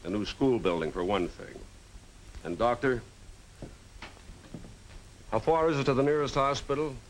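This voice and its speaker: An actor playing a civic-minded small-town mayor in a 1950s film drama, earnest, folksy and persuasive on an old soundtrack. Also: male voice, medium pitch, unhurried, slight background noise, close to an everyday voice, low-quality recording.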